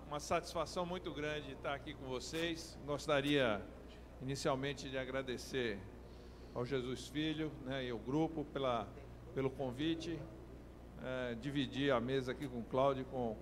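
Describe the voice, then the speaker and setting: A man's voice: mid-range voice, long reverb tail, noisy background. A middle-aged man from Maranhão giving a conference talk, hesitant, with many fillers and restarts. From Maranhão, Brazil. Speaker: keynote speaker. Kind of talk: conference presentation.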